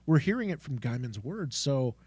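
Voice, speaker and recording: A man co-hosting a podcast in casual chat, excitable and rambling as he talks. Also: mid-range voice, moderate pace, breathy edge, no room sound. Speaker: podcast co-host.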